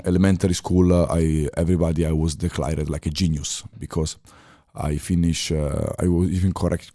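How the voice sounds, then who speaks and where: A man's voice: sits low, warm tone. A man in his thirties with an Italian accent, a guest on a podcast, speaking English as a second language with many fillers and restarts. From Italy. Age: 30s. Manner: halting non-native phrasing with many fillers and restarts. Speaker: podcast guest, former chef. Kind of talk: casual interview talk.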